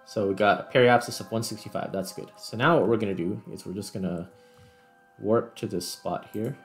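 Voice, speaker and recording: Low male voice, casual gaming commentary, thinking aloud, explanatory, light music behind. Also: a little fast. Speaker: gaming video host.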